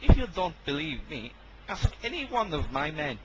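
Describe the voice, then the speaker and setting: A man's voice: a little high, sing-song, normal speed, lo-fi sound, a little hiss or hum. A man playing a defiant, scheming military officer in an amateur audio drama, with an insistent manner. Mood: defensive.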